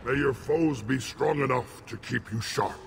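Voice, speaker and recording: An actor voicing a solemn, fierce alien shaman and clan elder in a video game, formal and ceremonial, with a gravelly voice. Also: mid-range voice, a little slow, very noisy. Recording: game music behind the voice. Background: music.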